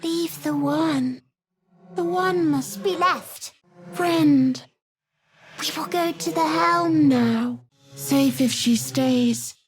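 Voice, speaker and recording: A woman voicing an eerie, childlike brain creature in a video game, devoted and urgent, with whispery, halting speech. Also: high voice. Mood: eerie devotion. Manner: slow, halting, clipped sentences.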